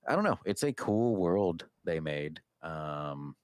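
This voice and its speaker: Male co-host, extremely deep voice, loose rambling conversation, sentences that trail away. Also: sing-song, slow, smooth, dry recording, studio quality. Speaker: podcast co-host. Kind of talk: casual chat.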